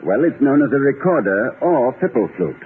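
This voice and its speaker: An actor playing an eager, slightly flustered, genteel middle-aged college president in old-time radio comedy, with a hesitant, courteous, slightly pompous delivery. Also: male voice, mid-range voice, big pitch swings, moderate pace, natural voice. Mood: earnest, eager. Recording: scratchy, narrow-band old radio broadcast.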